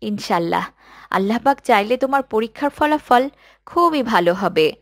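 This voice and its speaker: A devout, caring mother from a moral cartoon, acted by a woman. Her voice sits fairly high and she talks to her child with affectionate expression, in a close, dry recording. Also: expressive, moderate pace, dry recording. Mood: proud, blessing. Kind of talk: scripted cartoon dialogue.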